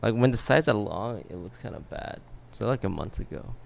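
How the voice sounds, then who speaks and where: A man's voice: on the low side, lively intonation, fairly quick, creaky. A man hosting a podcast, chatting casually. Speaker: podcast host.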